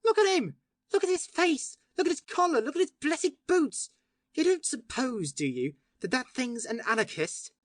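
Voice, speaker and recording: A woman voicing an impassioned young male detective poet in an audiobook, excitable and declamatory. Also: mid-range voice, normal speed, no echo, clean studio sound, close to an everyday voice. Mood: fiery. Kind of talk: audiobook character voice.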